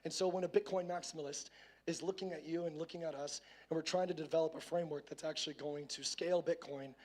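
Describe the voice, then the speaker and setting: A hoarse man giving a casual tech conference talk on a main stage, often stumbling and repeating words as he starts a phrase. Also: fairly high pitch, steady tone, fast talker, darkish tone. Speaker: conference speaker.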